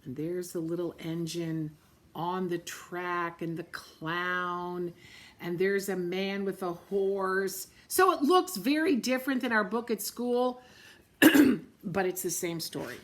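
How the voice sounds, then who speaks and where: A woman, a teacher, reading a picture book aloud at storytime, gently pointing out the pictures and often clearing her throat. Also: on the low side, slow. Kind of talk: storytime read-aloud to children.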